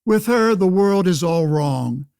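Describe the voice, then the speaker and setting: An actor playing a blustering, pompous old-time senator in a radio play, declaiming theatrically like a stump orator. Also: male voice, high voice, animated, slow, creaky, darkish tone, clear, resonant tone, exaggerated voice. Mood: pompous scorn. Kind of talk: old-fashioned political oratory, pseudo-radio play acting.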